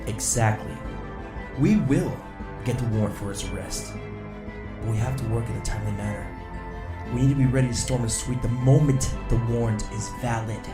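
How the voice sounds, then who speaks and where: A man plays a protective security officer in an audio drama. His voice is medium in pitch, with an earnest, decisive delivery. The room echoes and music plays clearly behind him. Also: normal speed, close to an everyday voice. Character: male security officer, determined, firm, protective leader.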